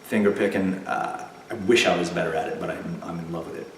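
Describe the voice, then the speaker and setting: A man, a stage actor and musician, chatting casually in an interview and telling stories with lively asides. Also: deep voice, fast, slight background noise. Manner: animated storyteller, acts out voices of others in anecdotes. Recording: echoey room, distant from the mic.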